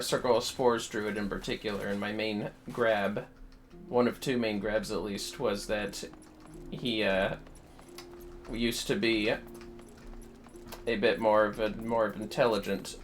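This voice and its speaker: A man hosting a livestream, chatting casually and thinking aloud in a rambling, halting way as he plays a game. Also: lowish voice, a bit bright. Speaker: livestream host. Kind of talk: casual chat while playing a game. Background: music.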